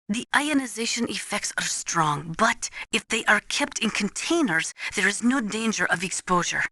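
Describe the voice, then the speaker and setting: Game casting: earnest female weapons expert advising a soldier, crisp forward tone, measured lecturing delivery, very dry studio sound. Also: medium pitch, bright, forceful tone, dry recording. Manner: measured, precise, didactic. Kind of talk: scripted expository video game voice acting.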